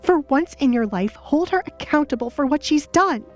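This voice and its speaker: Casting: female narrator as a stubborn, desperate young lady, higher pitched, urgent, audio drama over faint music. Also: animated, normal speed. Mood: pleading, defiant. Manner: urgent, defiant. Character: defiant, mistreated young noblewoman, desperate and stubborn. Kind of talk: audio drama character acting.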